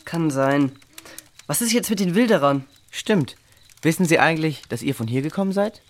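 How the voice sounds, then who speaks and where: A woman's voice: low voice, animated, normal speed, a little hiss or hum. A woman playing an alert, terse, matter-of-fact girl in a children's adventure audio drama. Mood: wary.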